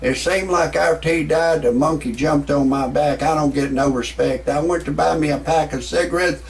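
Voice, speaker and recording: A man's voice: fairly high pitch, steady tone, moderate pace, vocal fry. A man in his sixties, ranting through a profane, rambling comic monologue to his viewers. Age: 60s. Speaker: comedy vlogger.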